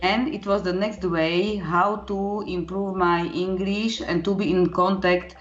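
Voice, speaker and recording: A woman from Slovakia with a Slovak accent, a guest talking earnestly in halting English with many fillers. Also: on the low side, fairly even intonation, highs cut off, faint music behind. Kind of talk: casual interview chat.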